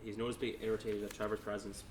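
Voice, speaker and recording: A man's voice: on the low side, level tone, racing, background noise. A man narrating stage directions at a table read, plainly, with small stumbles as he reads.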